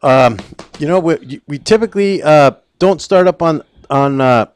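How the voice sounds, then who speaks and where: A man's voice: a little high, animated, a little slow, smooth. A man hosting a talk radio show, rambling and hesitant, with lots of restarts.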